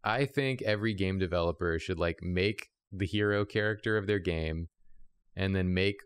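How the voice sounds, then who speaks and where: A man's voice: low-pitched, normal speed, clear, resonant tone, dry recording. A man bantering casually on a comedy drawing show, riffing on jokes. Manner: joking, riffs on bits. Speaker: show regular.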